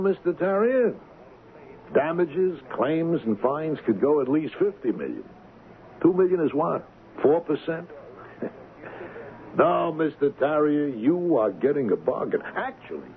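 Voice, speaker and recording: Old radio recording with faint noise, smug blackmailer, assured, needling, lively pitch, radio drama. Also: male voice, unhurried, narrow, tinny audio. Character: smooth, smug male blackmailer driving a hard bargain. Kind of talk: 1970s radio drama acting.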